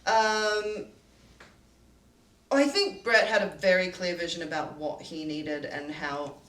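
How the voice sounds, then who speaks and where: A woman co-producer with a lowish voice talks through production choices in a measured, orderly way, pausing on "um"s. She is picked up from a distance in an echoey room, which gives her voice a hollow ring. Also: a bit bright. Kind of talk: casual behind-the-scenes chat.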